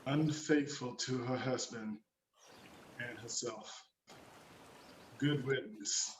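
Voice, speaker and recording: A man's voice: medium pitch, slow, close to an everyday voice. A man playing a scornful senior nun in her late forties, disdainful, in a dramatic reading. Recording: echoey room, faint noise.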